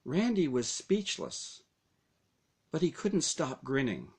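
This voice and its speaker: A man reading his own first-person story aloud as narration, with dramatic, deliberate phrasing. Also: on the high side, very animated, slightly rough.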